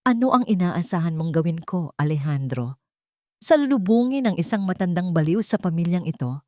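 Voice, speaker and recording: A woman voicing a cold, scheming wealthy wife who talks in a sharp, sneering, threatening way. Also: on the low side, lively intonation, normal speed, dry recording, clean studio sound, lightly acted. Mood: mocking.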